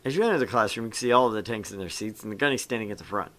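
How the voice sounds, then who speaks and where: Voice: mid-range voice, racing, a bit bright. A game show host reading visual novel narration aloud in a casual, dramatic storyteller manner with joking asides.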